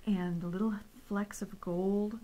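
A woman's voice: mid-range voice, fairly even intonation, slow pace, warm tone, slight background noise. A middle-aged woman co-hosting in a studio, talking casually and effusively, drawing out descriptions of colors. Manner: gushing, effusive, lingers on color words. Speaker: co-host. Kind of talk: casual chat. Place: bright studio set at a table.

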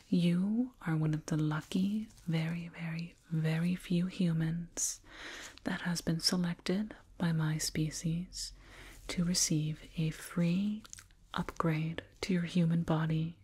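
Slow, breathy whispering woman. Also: fairly low pitch, a little mellow. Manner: slow, soothing, patronizing, deliberate. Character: condescending, eerily soothing alien dentist tending a human. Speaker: ASMR roleplay performer. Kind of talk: whispered ASMR roleplay. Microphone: close binaural microphone.

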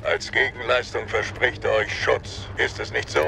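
Knarrenden, herablassenden alten Schurkengrafen. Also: male voice, mid-range voice, flat delivery, moderate pace, vocal fry, pushed and bright, a little hiss or hum. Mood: smooth, condescending. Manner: formal, condescending, persuasive. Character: suave, menacing elderly aristocratic villain count.

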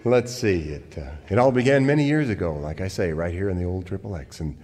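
An actor playing an earnest, good-natured cowboy ranger with a wholesome, theatrical delivery in a parody radio western. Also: male voice, deep voice, animated, a little fast, darkish tone, in a large hall. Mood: folksy storytelling. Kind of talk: parody of old-time radio western serial.

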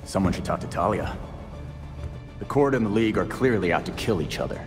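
An actor playing a gruff masked vigilante man, blunt and dryly sarcastic, in a game cutscene. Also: low voice, animated, brisk. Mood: grim. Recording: reverb with music score.

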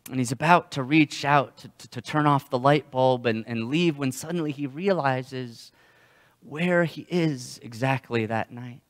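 A young man preaching a sermon as a pastor, telling stories in a halting way and often repeating words. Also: mid-range voice. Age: young adult. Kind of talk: sermon with storytelling. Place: church sanctuary, indoors. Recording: long hall reverb.

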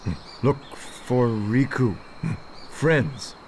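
An actor playing a simple, curious, gentle wild man of the jungle in a video game, halting and repeating single words in broken speech. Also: medium pitch, slight background noise. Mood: intent, simple. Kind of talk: video game cutscene voice acting.

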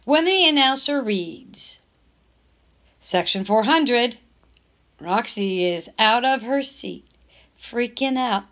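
A woman reading a children's novel aloud in expressive narration, with measured phrasing and emphatic stresses. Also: a little high. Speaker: teacher reading a book aloud.

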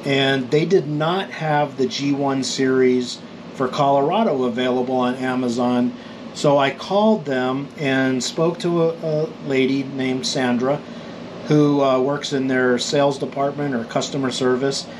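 A middle-aged man from New Mexico calmly explains road maps for motorcycle trip planning, rambling with fillers and restarts. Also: mid-range voice, measured pace, a little hiss or hum, poor audio. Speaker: motorcycle vlogger.

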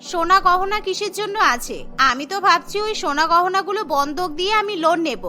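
A woman's voice: very high-pitched, fairly quick, bright, forceful tone, soft background music. A woman voicing an ambitious, headstrong young woman in a cartoon, assertive and self-assured. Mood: insistent. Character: ambitious, headstrong young woman, restaurateur's daughter and new bride.

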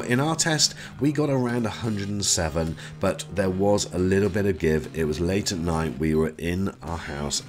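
Low-voiced man reviewing gear, lively intonation. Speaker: tech reviewer. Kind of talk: product review voice-over.

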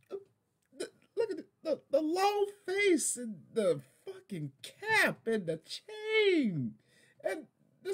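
Wildly expressive American man reacting. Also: very high voice. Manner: exasperated, halting, expressive. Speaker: reaction video host. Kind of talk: casual reaction commentary.

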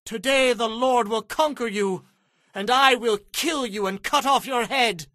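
A man's voice: very high-pitched, measured pace, bright, forceful tone, no room sound, studio quality, exaggerated voice. A young man playing a bold, earnest shepherd boy of faith in a dramatized audio Bible, with a declamatory delivery. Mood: defiant.

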